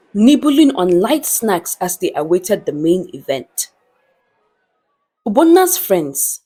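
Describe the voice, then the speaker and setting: A woman reads a dramatic story as its narrator. Her pace is slow and deliberate, and her mid-pitched voice moves with lively expression through each phrase.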